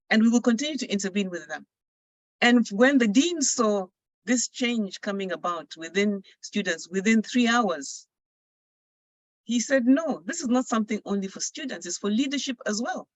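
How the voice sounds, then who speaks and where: A woman's voice: mid-range voice, animated, moderate pace, no echo, rough recording. A woman with a Ghanaian English accent, speaking earnestly and persuasively as a coach moderating an online panel. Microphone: video call.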